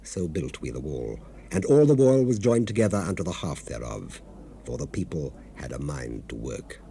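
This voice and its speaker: Deep, solemn Nehemiah on hissy cassette. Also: male voice, sing-song, moderate pace, creaky, dark tone, full character voice. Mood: solemn, measured. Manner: solemn, declamatory, oratorical. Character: Nehemiah, earnest, devout male leader recounting his people's struggle. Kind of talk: dramatized scripture reading, solemn narration. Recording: hissy 1970s cassette rip.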